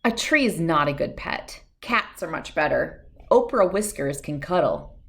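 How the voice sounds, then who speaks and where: A woman's voice: low-pitched, very animated, measured pace, creaky, full character voice. A woman voicing a skeptical, disapproving cat-owning neighbor woman in a storybook, with a matter-of-fact manner. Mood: dismissive.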